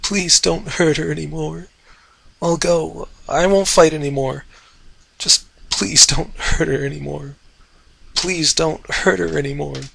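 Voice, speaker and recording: A man voicing a small young male dragon in a story, high-voiced and desperate with grief. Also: steady tone, a little slow, creaky, fairly bright tone, husky, exaggerated voice. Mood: tearful pleading. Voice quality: high, shrieking.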